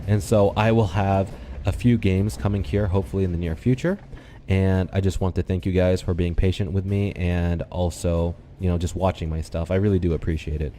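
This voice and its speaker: Deep-voiced, congested man narrating a game replay. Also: brisk, a little hiss or hum. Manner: calm, explanatory, thinks aloud with ums. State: congested, slightly nasal. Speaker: gaming channel host. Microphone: desktop USB microphone.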